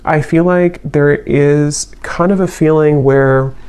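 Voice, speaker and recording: A woman giving an unscripted tarot reading in a reflective, halting way, letting her phrases trail. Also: low voice, fairly even intonation, mellow, smooth. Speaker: tarot reader, channel host.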